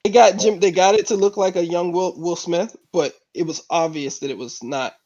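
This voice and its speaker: A man on a podcast panel arguing his points in casual, halting talk, over muffled call audio. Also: sits high, mellow. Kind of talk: casual discussion. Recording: dull lo-fi online call audio.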